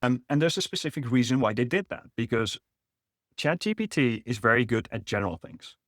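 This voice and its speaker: A man's voice: medium pitch, big pitch swings, fairly quick, fairly bright tone, no echo, studio quality. A middle-aged man from the Netherlands with a Dutch accent, presenting a business webinar in an explanatory way. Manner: explanatory, with frequent fillers and restarts. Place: online webinar.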